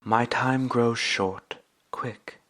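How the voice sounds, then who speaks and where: An actor playing a solemn, probing spirit in a classic radio drama, speaking deliberately. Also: male voice, medium pitch, fairly even intonation, a bit bright. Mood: urgent. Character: Ghost of Christmas Past, solemn probing spirit.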